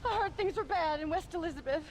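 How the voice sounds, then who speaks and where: A woman's voice: very high-pitched, fast. A woman playing a spirited young American rancher with a tart, sarcastic manner. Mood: exasperated, wry. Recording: noisy outdoor game ambience.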